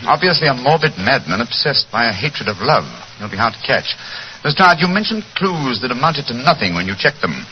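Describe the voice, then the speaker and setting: A man playing a shrewd, commanding British gentleman detective with clipped, precise authority, in 1940s radio style. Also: medium pitch, sing-song, a little fast, slight background noise, close to an everyday voice. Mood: analytical. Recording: muffled old radio recording.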